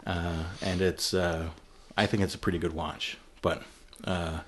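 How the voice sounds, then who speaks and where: A man with a very low, deep voice hosts a casual film-discussion podcast. He talks in a relaxed, loose way and thinks out loud with fillers as he goes. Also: measured pace, a little hiss or hum. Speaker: podcast host.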